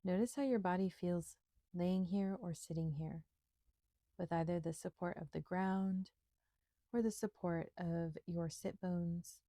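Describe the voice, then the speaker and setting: A woman instructor leading a guided meditation in a gentle, soothing, unhurried manner with pauses. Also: on the low side, no room sound, studio quality.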